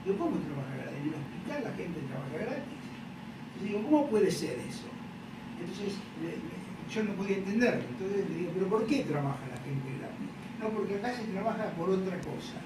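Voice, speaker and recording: Older Argentine male academic, halting lecture delivery, very reverberant distant recording with clear background noise. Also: high voice, lively intonation. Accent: Argentine Spanish. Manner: halting, repeats words, adds questioning check-ins. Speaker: professor and psychoanalyst lecturing. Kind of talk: conference lecture. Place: large echoey university lecture room. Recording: noisy, echoey hall, distant microphone.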